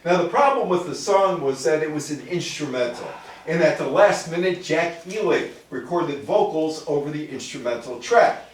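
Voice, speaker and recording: A man's voice: on the high side, lively intonation, moderate pace. Echoey, distant older American man lecturing. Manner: conversational, quizzing listeners, digressing into asides. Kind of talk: public talk to a live audience, part read from notes, with questions to listeners. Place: indoor room with live audience. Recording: very echoey room, distant microphone.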